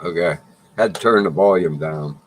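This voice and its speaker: A man with a very deep, low voice explains things in a plain, matter-of-fact way while co-hosting a casual livestream chat. A faint hiss runs behind him. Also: moderate pace. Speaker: livestream co-host.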